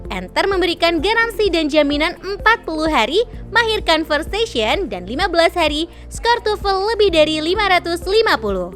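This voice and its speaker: A woman's voice: high voice, a bit bright. A woman reading formal scripted promotional narration. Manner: smooth, formal read.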